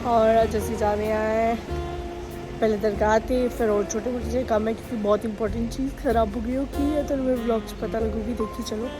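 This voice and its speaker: A young woman, hoarse from a cold, chatting casually to her vlog camera while she waits in a car. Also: fairly high pitch, level tone, normal speed, over music, low-quality recording. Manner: chatty, rambling, mixes in English words. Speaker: vlogger. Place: sitting in a parked car.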